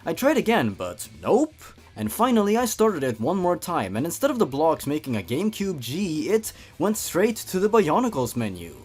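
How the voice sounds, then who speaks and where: Male comedy commentator, slightly high voice, very animated; theatrical story reading broken up by sarcastic remarks. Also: normal speed, few pauses, husky. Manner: theatrical emphasis with wry, sarcastic asides. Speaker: comedy video host reading and commenting on horror fan fiction. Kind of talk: comedic commentary mixed with dramatic story read-aloud.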